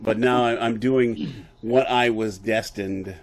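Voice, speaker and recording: A middle-aged man chatting casually as an interview guest about his creative work, enthusiastic but halting in his delivery. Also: lowish voice, fairly even intonation, crowd noise. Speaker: guest audio drama creator.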